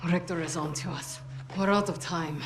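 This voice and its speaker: An actress playing a tough, distrustful soldier in a war game, speaking bluntly and curtly. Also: female voice, on the low side, fairly even intonation, normal speed, noisy background, natural voice. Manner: blunt, curt. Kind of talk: cinematic video game acting.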